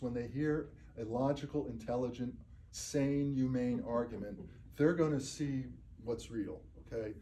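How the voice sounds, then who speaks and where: A man's voice: medium pitch, dark tone, a little hiss or hum, rough recording. An older man speaking at a lectern without a microphone, answering audience questions in a blunt, emphatic way and often checking with "okay?". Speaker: speaker giving a talk. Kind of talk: lecture and audience Q&A. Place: community meeting room. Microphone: far camera, no microphone.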